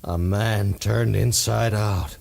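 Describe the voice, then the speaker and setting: An actor playing a brusque, urgent hospital doctor in an old-time radio horror drama. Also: male voice, lowish voice, steady tone, takes it slowly, creaky, darkish tone. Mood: horrified awe. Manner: brusque, urgent, barking orders. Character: commanding, impatient male hospital doctor on emergency call. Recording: noisy vintage 1930s-40s radio recording.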